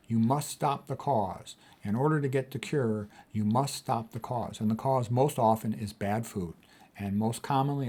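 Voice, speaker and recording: 60 yr old guy doctor reading quotes n riffing on them, emphatic, repeats himself, medium pitch. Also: dark tone, slightly rough. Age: 60s. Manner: emphatic, repeats key points, casual asides. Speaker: physician host. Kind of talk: commentary on read quotes.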